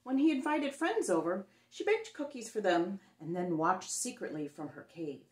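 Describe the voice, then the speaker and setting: A middle-aged woman reads a picture book aloud to young children with theatrical emphasis and dramatic pauses. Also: medium pitch, expressive, a little mellow. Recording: roomy echo on the voice.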